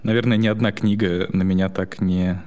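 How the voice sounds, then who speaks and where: Low-voiced young man in easy podcast chat. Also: level tone, darkish tone. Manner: halting, full of restarts, laughs between thoughts. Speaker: podcast co-host.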